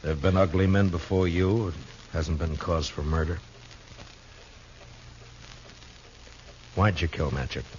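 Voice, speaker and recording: An American actor in a 1950s radio western playing a tough, plain-spoken lawman with a calm, laconic, firm manner. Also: low-pitched, a little fast, lightly acted. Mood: probing, sober. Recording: dull, noisy 1950s radio recording.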